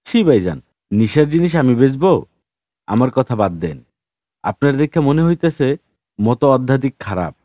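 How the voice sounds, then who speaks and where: A man's voice: mid-range voice, big pitch swings, normal speed, pauses often, dark tone, dry recording, studio quality, close to an everyday voice. A man voicing a huge, naively earnest street hustler in an audiobook, speaking colloquial rustic dialect in a respectful, pleading way. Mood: deferential, eager.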